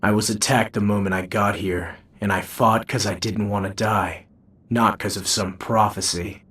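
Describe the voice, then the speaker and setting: An actor playing a reluctant young warrior in a video game, dry, offhand and sardonic. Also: male voice, on the low side, steady tone, moderate pace, a bit bright, close to an everyday voice. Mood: dismissive. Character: young male reluctant warrior hero, cynical and laconic. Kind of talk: video game cutscene acting.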